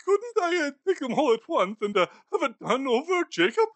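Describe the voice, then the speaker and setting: Scared old miser, whiny and high, wobbly voice, dry close sound. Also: male voice, sing-song, a little fast, a bit bright, dry recording, exaggerated voice. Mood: whimpering. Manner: whimpering, high and wavering. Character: frightened pleading old miser, male. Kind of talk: audiobook character voice.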